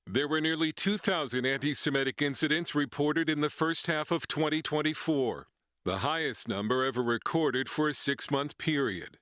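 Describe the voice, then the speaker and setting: A man narrating a scripted news report in a measured, formal delivery. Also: on the high side, fairly bright tone, dry recording, studio quality. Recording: news report with clear background noise.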